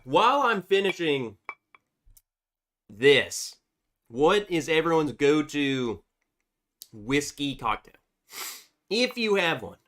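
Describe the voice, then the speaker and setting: Congested male streamer, slightly high and very animated, upbeat host chatting with viewers. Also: slow, pauses often. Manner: reads viewer chats aloud, chatty and upbeat. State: congested from a cold. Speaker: livestream host. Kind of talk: casual livestream chat.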